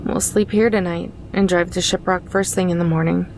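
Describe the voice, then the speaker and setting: A woman's voice: lowish voice, fairly even intonation, fairly quick, darkish tone, a little hiss or hum, close to an everyday voice. A woman playing a weary, curt and guarded young woman in a visual novel. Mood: tired.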